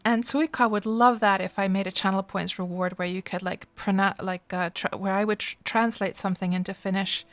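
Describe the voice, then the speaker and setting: A woman's voice: medium pitch, steady tone, a little fast, few pauses. A middle-aged woman, chatting casually and expressively with viewers as a live streamer.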